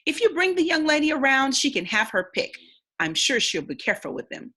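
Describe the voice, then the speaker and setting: A woman voicing a kindly, bookish old sailor from a storybook, in a courteous, measured way. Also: high-pitched, very animated, pushed and bright, pitched above the performer's own voice, exaggerated voice. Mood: gentle, hospitable.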